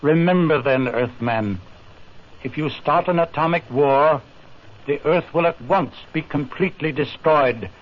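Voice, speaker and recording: An actor playing a stern, solemn alien commander in a 1950s radio drama, speaking gravely and emphatically. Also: male voice, on the high side, a little slow, lightly acted. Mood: grave warning. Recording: muffled 1950s radio recording.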